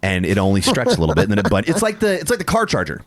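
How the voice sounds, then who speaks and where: A middle-aged man hosting a casual podcast, telling animated stories, laughing often and swearing casually. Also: lowish voice, very fast, a crowd in the background. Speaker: podcast host.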